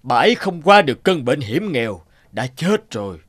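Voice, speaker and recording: A old cartoon sorcerer, theatrical and stern, with wide swings in pitch. His comes through in a close, dry recording. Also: big pitch swings, a bit bright, dry recording. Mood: menacing, stern. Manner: slow, theatrical, pronouncing. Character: stern old sorcerer, sly, menacing and condemning. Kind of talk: cartoon fairy-tale dubbing.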